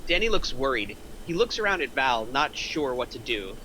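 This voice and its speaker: A man's voice: medium pitch, very animated, moderate pace, bright, forceful tone, a little hiss or hum, low-quality recording. A man reading stage directions as the narrator at a script table read.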